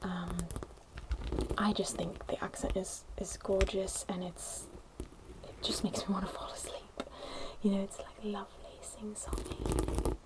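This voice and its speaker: A young woman with a put-on posh British accent, whispering a gentle, rambling ASMR chat into a close microphone. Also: medium pitch, little pitch movement, measured pace, poor audio. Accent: put-on posh British English. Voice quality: whispered, hushed. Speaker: ASMR creator. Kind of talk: soft-spoken ASMR chat. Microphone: close sensitive ASMR microphone.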